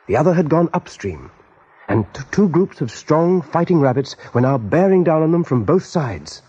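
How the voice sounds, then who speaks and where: A man's voice: a little high, big pitch swings, normal speed, mellow, breathy edge. A man narrating a scripted radio drama as storyteller. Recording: dull vintage radio recording.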